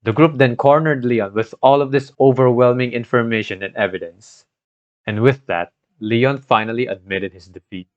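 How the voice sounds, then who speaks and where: A man's voice: mid-range voice, moderate pace, dry recording. A man narrating a scripted video retelling of a game story, adding his own commentary as a gaming content creator. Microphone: cheap home microphone, voice-over. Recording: dull lo-fi scuffed home microphone.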